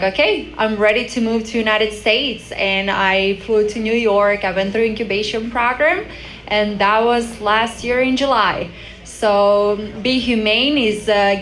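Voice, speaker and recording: A woman's voice: medium pitch, fairly bright tone. Young female founder, Croatian accent, mid-range voice, telling her own story at a live panel with slight background noise. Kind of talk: personal story told on a conference panel. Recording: faint background noise, live event microphone.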